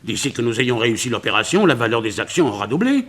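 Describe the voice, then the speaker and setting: A man's voice: mid-range voice, big pitch swings, fast, fairly bright tone. An actor playing an older, confident businessman in a French radio crime drama, emphatic and blustering as he dismisses doubts.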